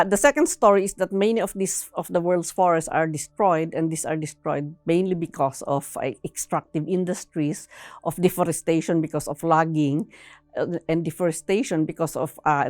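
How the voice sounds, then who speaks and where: A woman giving explanatory interview answers in a measured, halting way, sometimes tagging phrases with a questioning "no". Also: sits low, darkish tone, no room sound.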